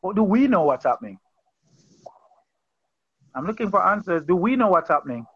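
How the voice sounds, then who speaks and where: High-voiced man preaching on a call. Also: sing-song, moderate pace, slightly rough, dry recording, lo-fi sound. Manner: earnest, conversational, repeats phrases and restarts. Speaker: pastor leading an online Bible study. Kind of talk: informal sermon on a video call. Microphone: video call.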